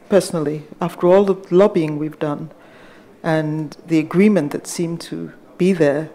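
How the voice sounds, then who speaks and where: A soft-voiced middle-aged woman from Ghana speaking at a conference panel in a measured, hesitant way, with many fillers, into a table microphone in a large hall. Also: low-pitched, gravelly. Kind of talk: panel discussion remarks.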